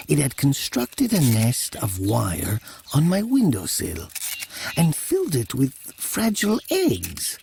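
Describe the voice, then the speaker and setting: A man's voice: mid-range voice, creaky, noisy background, full character voice. An actor playing an elderly, wealthy Italian man who tells his tale in a formal, theatrical manner. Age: older. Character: elderly Italian man, wealthy former mayor, uneasy storyteller. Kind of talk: dramatic first-person storytelling.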